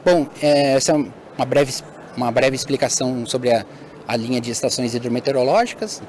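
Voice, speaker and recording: A man's voice: medium pitch, fairly quick, darkish tone. Man presenting, with faint background noise. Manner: hesitant with fillers and self-corrections. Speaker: company presenter. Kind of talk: webinar presentation.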